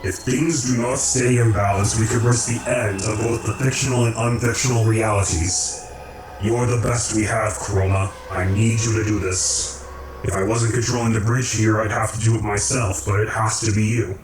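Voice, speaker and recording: Man acting as a low, commanding cosmic creator, echoing. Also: fairly even intonation, noisy background, close to an everyday voice. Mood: firm, commanding. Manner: measured, formal, cryptic. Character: calm, commanding cosmic creator, male, cryptic philosophical overseer. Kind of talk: radio drama acting. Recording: roomy echo, distant, music underneath.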